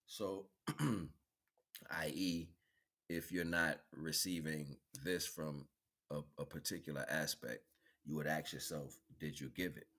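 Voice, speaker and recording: A man with a very low voice talks as a spiritual streamer. He rambles philosophically, pausing and circling back, and drops colloquial slang between lofty phrases and questions to the listener. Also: a bit bright. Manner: philosophical, wordy, halting, with rhetorical questions. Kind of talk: rambling spiritual monologue to an audience.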